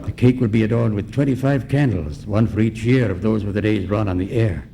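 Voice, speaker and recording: An actor playing a pompous, courteous, scheming villain in a radio noir parody, formal in speech. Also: male voice, lowish voice, brisk, vocal fry, mellow. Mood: suave. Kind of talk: comic old-time radio parody acting. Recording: faint noise on live recording.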